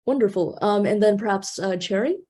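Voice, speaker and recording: A woman's voice: medium pitch, brisk, dark tone. A woman in her thirties moderating an online panel, speaking with many fillers and restarts.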